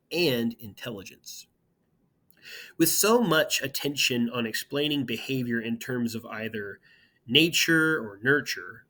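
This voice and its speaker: A man's voice: mid-range voice, pushed and bright, slightly rough, poor audio. A man teaching his students, first reading an article aloud steadily and then speaking casually to them about the assignment.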